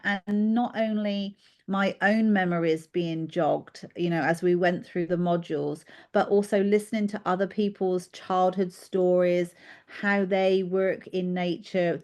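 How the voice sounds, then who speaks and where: British woman on a podcast, lowish voice, lots of ums, reflective storyteller. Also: level tone, unhurried. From United Kingdom. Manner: warm reflective storytelling with frequent fillers. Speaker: guest therapist. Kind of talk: podcast interview.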